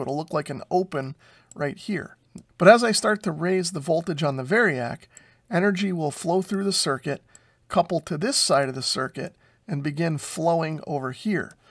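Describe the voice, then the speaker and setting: An American man in his forties giving an informal technical tutorial in a methodical, explanatory way. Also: on the high side. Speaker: electronics repair video host. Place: small unfinished basement workshop.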